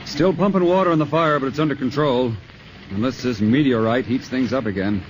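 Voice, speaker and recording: A man playing a brisk, plainspoken and wry forest ranger in a 1950s radio drama, on a noisy old recording. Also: medium pitch, natural voice. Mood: matter-of-fact. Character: brisk, wisecracking male forest ranger on fire duty.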